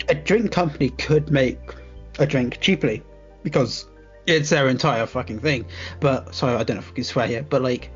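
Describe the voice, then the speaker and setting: A man's voice: medium pitch, normal speed, lo-fi sound, soft background music. A man co-hosting a casual podcast, telling laid-back stories and swearing casually. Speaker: podcast co-host. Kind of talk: casual chat.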